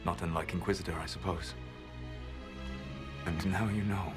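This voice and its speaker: A man voicing a deep, grave ancient elf mage. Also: steady tone, lightly acted. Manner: measured, grave, quietly regretful. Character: ancient male elf mage, calm, secretive, proud. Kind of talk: video game voice acting. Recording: game audio with music score.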